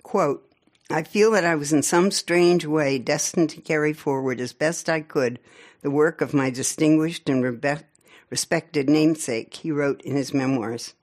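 An older woman whose first language was Norwegian, reading from her own memoir in a measured way, with small slips and spoken asides. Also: low-pitched, a little mellow. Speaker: author.

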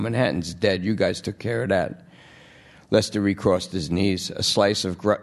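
A man voicing a jittery, wheedling male junkie under arrest who pleads and rambles. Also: low voice.

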